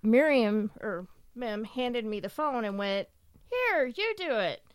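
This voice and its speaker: A woman's voice: fairly high pitch, lively intonation, takes it slowly, clear, resonant tone, no echo, clean studio sound. A woman co-hosting a podcast, blunt and wry in casual chat. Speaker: podcast co-host.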